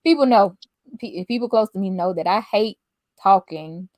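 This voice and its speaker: A soft-spoken woman hosting a podcast, chatting casually and laughing often. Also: medium pitch, normal speed, no room sound. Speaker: podcast host. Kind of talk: casual chat.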